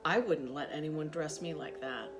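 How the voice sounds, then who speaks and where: A woman's voice: sits low, moderate pace, music in the background, pitched below the performer's own voice, natural voice. A woman voicing a brash, teasing young boy in a storybook, blunt and challenging. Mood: curious, scornful. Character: brash, teasing young boys aboard a ship.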